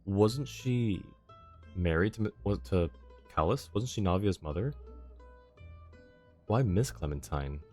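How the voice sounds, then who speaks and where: A man's voice: low-pitched, animated, unhurried, long pauses, warm tone. A man casually commentating on a game livestream, musing aloud and sometimes trailing off. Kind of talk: casual game stream commentary, reading dialogue choices aloud. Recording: game music behind the voice.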